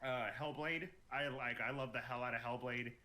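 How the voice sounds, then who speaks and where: Bright-voiced man chatting casually about games. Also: mid-range voice, steady tone, normal speed. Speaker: podcast guest.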